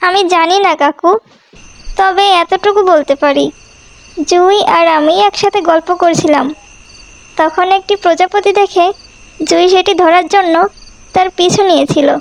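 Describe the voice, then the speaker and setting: Earnest young girl, very high voice woman acting. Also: normal speed, pauses often, poor audio. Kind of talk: cartoon acting.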